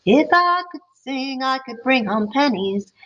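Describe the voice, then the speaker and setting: Brief: hopeful boy dreaming of a horn, slow sing-song, high and bright, voiced by a woman. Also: lively intonation, dull audio. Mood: wistful.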